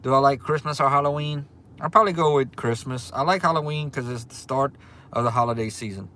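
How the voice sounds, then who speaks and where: A man's voice: mid-range voice, a little fast, sharp tone. A man in his forties with a Louisiana accent, hosting a casual livestream and answering viewer comments in an easygoing way, often repeating himself. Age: 40s. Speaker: livestream host. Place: restaurant. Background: faint restaurant ambience.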